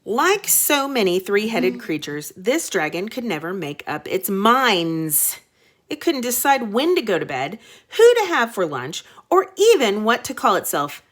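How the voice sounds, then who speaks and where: A woman reads aloud to young children as a teacher would. Her pitch is mid-range and very animated, her pace slow, and she mixes dramatic narration with plain asides. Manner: expressive, dramatic.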